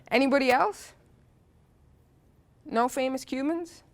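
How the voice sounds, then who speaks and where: A woman's voice: high voice, expressive, slow. A woman from New Jersey giving a chatty, interactive history lecture to a class, with a stuffy nose from allergies and frequent "um"s. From New Jersey, United States. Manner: chatty, asks the audience questions, frequent "uh" and "um".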